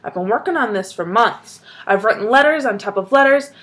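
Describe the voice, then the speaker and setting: A woman voicing an excitable, kindly old grandfather who bursts into loud, eager shouts. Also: a little high, brisk. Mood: coaxing.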